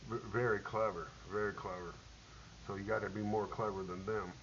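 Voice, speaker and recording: A middle-aged man, a military veteran, telling a rambling personal war story, halting with many ums and restarts. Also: fairly low pitch, little pitch movement, a bit bright. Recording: dull lo-fi audio with faint hiss.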